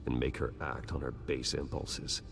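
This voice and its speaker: An actor playing a grim, analytical vigilante detective in a video game, speaking in a terse, grave, deliberate way. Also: male voice, very deep voice, level tone, background noise. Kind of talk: dramatic video game acting.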